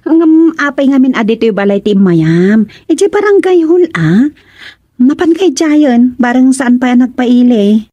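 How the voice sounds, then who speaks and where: Mellow, gossipy Ilocano villager. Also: female voice, sits high, lively intonation, normal speed, no echo, highs cut off. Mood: helpful. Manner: exclamatory, gossipy. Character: chatty, gossiping village woman, sympathetic busybody. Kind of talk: radio drama comedy acting.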